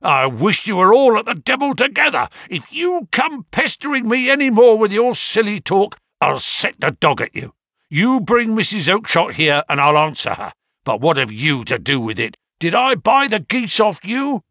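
A furious market trader bellows at a pest, as a male reader puts him on. His voice rises high and loud with anger. The sound is dry and close. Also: big pitch swings, moderate pace, breathy edge, dry recording, exaggerated voice. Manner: loud, fierce. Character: angry market salesman, gruff, shouting.

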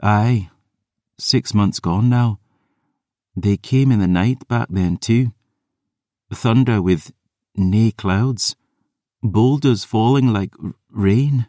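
A man's voice: on the low side, takes it slowly, pauses often, no echo, studio quality, pitched above the performer's own voice. A man voicing a grieving young maid. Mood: mournful. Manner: trembling, turning to anguished shouting. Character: young woman refugee maid, grief-stricken, volatile.

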